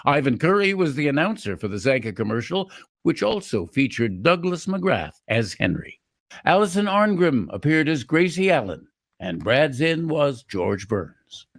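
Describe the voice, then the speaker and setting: A man's voice: a little high, very animated, normal speed. A man announcing in a formal, scripted radio sign-off style.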